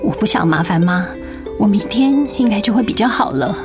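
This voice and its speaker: A woman's voice: medium pitch, expressive, a little fast, over music, natural voice. A woman playing a weary, self-doubting sick young mother in a radio drama, with a Taiwanese Mandarin accent. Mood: weak, apologetic. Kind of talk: dramatic radio play acting.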